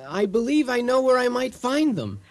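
A man's voice: very high-pitched, very animated, normal speed, creaky, full character voice. An actor playing a mild, courteous earthman in a comic radio drama, soothing and a little hesitant. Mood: reassuring. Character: mild, obliging, reassuring earthman in contact with aliens. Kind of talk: comic radio theater acting.

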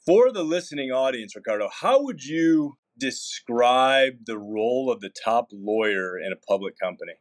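A man's voice: medium pitch, lively intonation, normal speed, hardly any pauses. A man hosting an interview, asking friendly, conversational questions. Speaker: interview host.

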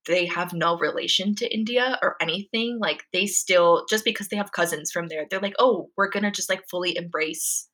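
A young woman chatting casually as an interview guest, her fairly low voice carrying a bright, forceful edge. She talks in a loose, rambling way, leaning on "like" and "um" as she goes. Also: normal speed, hardly any pauses. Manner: chatty, frequent "like" and "um" fillers. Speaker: podcast guest.

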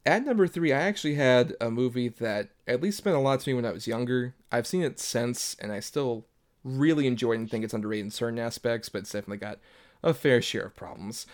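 A man's voice: mid-range voice, quick pace. Jokey man co-hosting a podcast. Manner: jokey, playful banter. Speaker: podcast co-host. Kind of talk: casual movie podcast chat.

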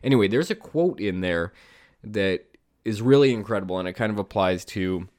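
A man's voice: fairly low pitch, expressive, moderate pace, darkish tone, dry recording, studio quality. A man hosting a casual solo podcast, sounding slightly nasal and stuffy from a cold, rambling with many fillers and restarts. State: congested, under the weather. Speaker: podcast host.

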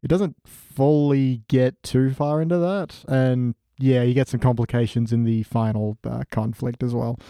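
A man's voice: medium pitch, fairly even intonation, unhurried, hardly any pauses, warm tone, smooth, no room sound, studio quality. A man talking as a podcast guest in a casual review, with a wry, conversational manner. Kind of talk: casual episode review chat.

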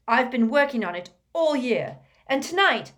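A woman voicing a tense, defiant teenage boy wizard who speaks forcefully and vehemently. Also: on the high side, huge pitch swings, a little slow, voice pushed up, exaggerated voice.

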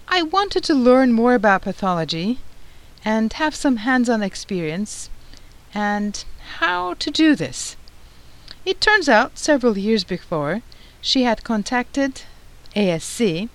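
A middle-aged woman from Turkey hosting a professional society's podcast, reading a prepared script in a measured, formal way. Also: medium pitch, low-quality recording. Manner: measured, formal, read-aloud delivery. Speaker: podcast host, society president.

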